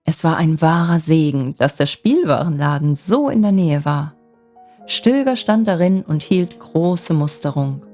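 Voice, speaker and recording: A woman storyteller reads a scripted fairy tale for bedtime. Her voice is low-pitched and gentle. Music plays softly behind the narration. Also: expressive, unhurried. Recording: music bed under the voice.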